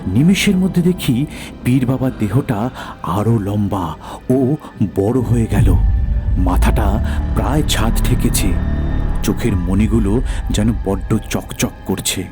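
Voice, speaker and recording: A man's voice: on the low side, slow pace, pauses often, music in the background. A man narrating a first-person horror audio story in a dramatic storytelling style.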